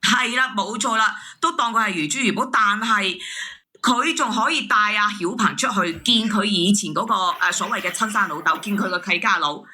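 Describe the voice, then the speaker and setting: A woman caller airing a family grievance, repeating her words haltingly as she argues. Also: medium pitch, pushed and bright. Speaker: caller, aggrieved sister-in-law. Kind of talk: airing a family dispute on a call-in show.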